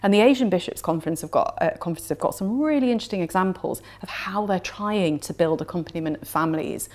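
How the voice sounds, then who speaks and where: Low-voiced woman, fluent and emphatic. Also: quick pace, a little mellow. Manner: fluent, emphatic, building lists of rhetorical questions. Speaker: theologian interviewed on the synod. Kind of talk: earnest explanatory interview talk. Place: indoor room with plain walls.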